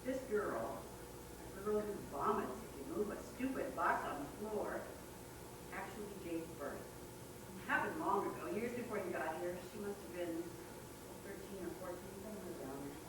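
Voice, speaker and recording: An actress at a staged reading playing a blunt, gossipy, world-weary care worker with an emphatic, sardonic, confiding manner. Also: female voice, medium pitch, lively intonation, moderate pace, close to an everyday voice. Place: theatre stage, recorded from far off. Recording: distant, echoey, noisy theatre recording.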